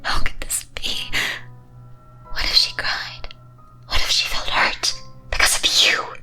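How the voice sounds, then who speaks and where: A woman playing a teasing, wicked demon mistress in a breathy, theatrical ASMR whisper, full of dramatic rhetorical questions. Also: slow, bright, forceful tone, soft background music. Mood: fretful, dramatic. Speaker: streamer performing as a demon-mistress persona.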